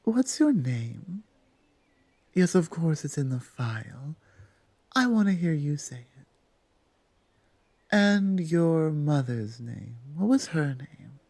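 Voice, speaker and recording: A woman's voice: deep voice, sing-song, dark tone, dry recording, exaggerated voice. Woman acting a commanding minotaur guard captain, close and dry. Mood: interrogating, firm. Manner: deliberate, pausing, commanding. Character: stern female minotaur guard captain with a soft heart. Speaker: audio roleplay performer. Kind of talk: ASMR roleplay acting, addressing the listener.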